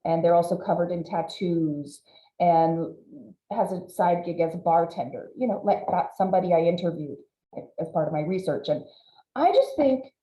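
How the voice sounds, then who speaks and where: A woman's voice: fairly low pitch, moderate pace, mellow. A woman hosting an interview, talking in a reflective, enthusiastic way. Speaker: host, interviewer. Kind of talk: conversational interview. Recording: dull echoey room audio.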